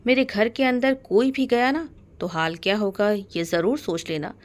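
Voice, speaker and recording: A woman voicing a bold, protective young man in a story, commanding and quick to anger. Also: mid-range voice, animated, close to an everyday voice. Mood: defiant threat. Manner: commanding, threatening. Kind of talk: dramatic storybook narration in Hindi.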